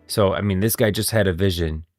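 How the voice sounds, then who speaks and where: A man's voice: low-pitched, brisk, darkish tone, faint music behind. A man hosting a stream of game lore commentary, moving between casual talk and scripted reading. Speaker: streamer, host.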